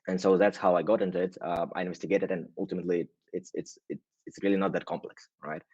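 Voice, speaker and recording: A man from Russia, a guest on a show, explaining things in a relaxed way and often checking in with "right?". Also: low voice, quick pace, husky, dry recording, lo-fi sound. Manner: hedging, frequent "right?" tags and restarts. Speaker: guest, automation agency founder. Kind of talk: casual explanatory interview talk.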